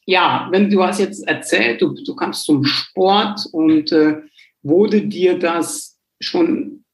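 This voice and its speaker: A man hosting a casual interview show, speaking in halting, rambling phrases. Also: on the high side, echoey room, low-quality recording.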